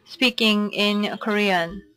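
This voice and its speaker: A woman interpreting a conference talk into English, steady and formal, with frequent fillers. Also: mid-range voice, takes it slowly.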